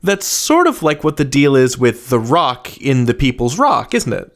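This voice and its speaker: A man's voice: mid-range voice, sing-song, moderate pace. A man interviewing guests as a podcast host in casual, conversational talk.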